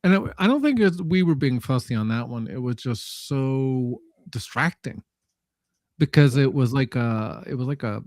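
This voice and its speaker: A man chatting casually as a panelist, talking in a halting, rambling way and often correcting himself. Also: medium pitch, lively intonation, darkish tone, dry recording, clean studio sound. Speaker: panelist on a live audio show. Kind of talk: casual unscripted chat.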